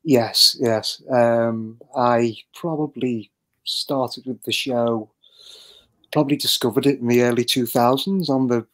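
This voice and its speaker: A man answers interview questions on an online call. He is polite and modest, with a medium-pitched voice. He talks slowly and hesitantly, often pausing to find his words. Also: fairly even intonation, fairly bright tone, rough recording. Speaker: guest, amateur actor. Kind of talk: interview chat.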